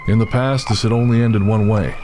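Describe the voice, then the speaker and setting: A man's voice: lowish voice, fairly even intonation, a little fast, lightly acted. A man giving scripted narration as the storyteller of a western horror audio drama. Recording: music bed behind the voice.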